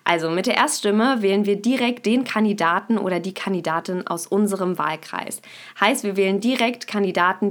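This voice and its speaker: A young woman hosting a podcast, explaining things to her listeners and speaking to them directly. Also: lowish voice. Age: young adult. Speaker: podcast host. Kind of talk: scripted podcast intro and explainer.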